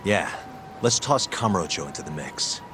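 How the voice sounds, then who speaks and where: An earnest young private detective in a video game cutscene. His fairly low voice is dry and casual, with an exasperated edge. Clear noise sits behind him. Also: expressive, moderate pace. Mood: casual, determined.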